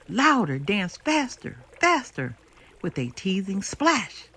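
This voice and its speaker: An older woman reading a children's book aloud in an expressive, deliberate, lyrical storytelling manner. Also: on the low side, husky. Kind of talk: expressive scripted storybook narration.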